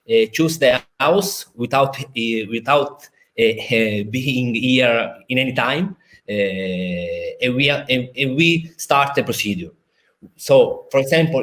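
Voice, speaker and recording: A man with an Italian accent, a lawyer explaining a legal procedure over a video call, halting with many fillers and restarts. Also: medium pitch, a bit bright. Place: in a city in Italy, at home or office.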